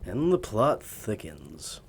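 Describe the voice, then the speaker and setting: A man's voice: on the low side, sing-song, slow pace, slight background noise. A man as a courteous, earnest traveling magician. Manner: courteous, slightly formal phrasing. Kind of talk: audio drama acting.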